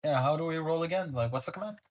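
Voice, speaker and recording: A man playing a tabletop game with friends, chatting casually in an animated, joking way and swearing freely. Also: on the high side, very fast.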